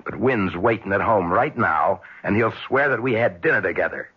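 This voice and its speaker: Very raspy, desperate blackmailer. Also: male voice, on the low side, expressive. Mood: desperate, bitter. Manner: halting, threatening. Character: desperate, embittered man attempting blackmail at gunpoint. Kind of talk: 1970s radio drama acting. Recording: dull vintage radio drama recording.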